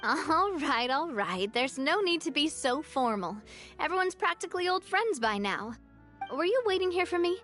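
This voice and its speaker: A modest young guild leader. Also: female voice, sits high, sing-song, moderate pace, fairly bright tone, soft background music. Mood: cheerful. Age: young adult. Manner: cheerful and modest, playfully self-deprecating. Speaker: president of a guild. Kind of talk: game voice acting.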